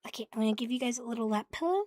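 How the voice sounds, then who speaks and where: A woman livestreaming ASMR in a playful, cutesy catgirl manner, soft and breathy, often whispering, close to the microphone. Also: fairly high pitch, dry recording. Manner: playful, cutesy catgirl persona, with giggles and purring. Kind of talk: ASMR, intimate chat to live listeners.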